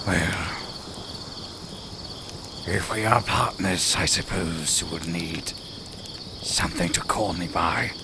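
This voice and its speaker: Man voicing a slow, deep, menacing werewolf. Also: vocal fry, background noise, full character voice. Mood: guarded, courteous. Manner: deliberate, menacing, formal, with drawn-out pauses. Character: cunning, secretive lone male werewolf, coldly proud. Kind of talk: slow theatrical audio roleplay.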